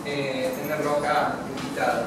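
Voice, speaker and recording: A man's voice: mid-range voice, little pitch movement, moderate pace. A man introduces a guest, hesitating with many fillers. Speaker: host, interviewer. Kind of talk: presenting a guest. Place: classroom. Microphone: far camera. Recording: echoey classroom from far off, loud noise.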